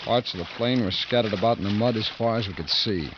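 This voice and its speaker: A man's voice: lowish voice, quick pace, noisy background, lightly acted. An actor playing a tense, commanding escaped convict who narrates his own story in a 1950s radio suspense drama. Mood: grim, narrating. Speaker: lead actor and first-person narrator. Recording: dull vintage radio recording, music behind.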